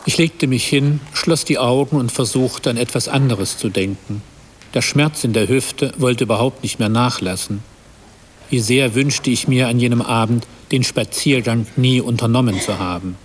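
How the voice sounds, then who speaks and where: A man's voice: medium pitch, normal speed, lightly acted. An actor playing a troubled husband and father, narrating a radio play as a reflective, self-reproachful confession. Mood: rueful, brooding. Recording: long reverb, faint background noise.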